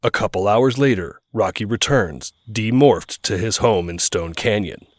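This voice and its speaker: A man's voice: fairly low pitch, a little slow, dry recording, clean studio sound. A man reading scripted dramatic narration for an audio drama.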